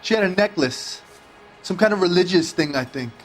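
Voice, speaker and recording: A world-weary 1940s barman with a fairly high voice. He talks casually and in slang, as one who has seen it all, over faint background music. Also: expressive, normal speed. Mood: casual, dismissive. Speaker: voice actor in a detective video game. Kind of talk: scripted dramatic game acting.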